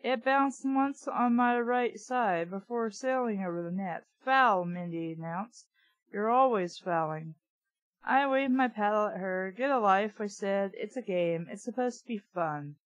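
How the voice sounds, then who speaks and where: A woman's voice: mid-range voice, unhurried, no echo. A woman reading a book aloud casually, with blunt, sweary, opinionated off-the-cuff commentary. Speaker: reader and commentator of a children's book.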